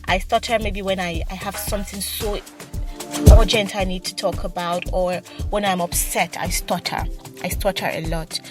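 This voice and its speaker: A woman hosting her own advice podcast, with a voice of middling pitch and a slight stutter. She talks fast, often repeating words and starting sentences over. Clear music plays behind her throughout. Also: fairly even intonation. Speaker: podcast host. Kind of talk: solo advice podcast talk.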